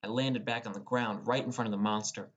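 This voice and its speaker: A man's voice: lowish voice, steady tone, fairly quick, muffled recording. A young man reading scripted first-person fantasy narration as a dramatic storyteller. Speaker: audiobook narrator.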